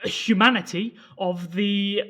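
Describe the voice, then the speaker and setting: A woman playing a nervous Earthling prisoner in an amateur audio drama, hesitant, halting and earnest. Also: mid-range voice, fairly even intonation, fairly bright tone. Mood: nervous flattery. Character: nervous, bumbling Earthling prisoner improvising flattery.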